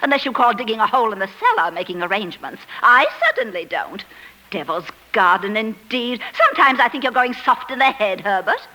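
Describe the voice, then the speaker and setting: An actress playing a domineering, sarcastic middle-aged wife in brisk 1940s radio drama style. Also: female voice, fairly high pitch, big pitch swings, pushed and bright, poor audio. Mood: scornful, indignant. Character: bossy, scornful middle-aged English wife, nagging. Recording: old 1940s radio broadcast.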